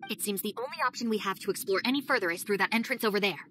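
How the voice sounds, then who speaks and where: A woman voicing a calm, deadpan and teasing young female ninja deputy in a game cutscene. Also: mid-range voice, very fast, heavy background noise, lightly acted. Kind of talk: video game cutscene voice acting.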